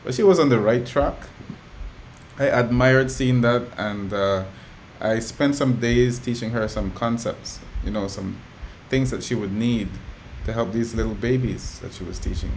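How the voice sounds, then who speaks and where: A man talking as a guest, calmly explaining ideas and often checking in with "you know.". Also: lowish voice, normal speed, a little hiss or hum, poor audio. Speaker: guest musician and educator. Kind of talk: relaxed conversational storytelling.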